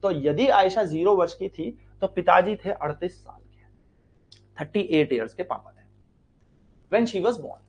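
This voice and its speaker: An Indian man with a fairly high voice teaches a maths lesson for exam students. He works through each step, poses questions to the class and answers them himself, repeating key lines and drawing words out for stress. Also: expressive, a little slow, pauses often, breathy edge, lo-fi sound.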